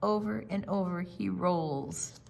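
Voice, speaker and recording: A woman reading a picture book aloud to young children, gentle, with dramatic pauses and drawn-out words. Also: lowish voice, creaky, a little hiss or hum. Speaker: storytime reader.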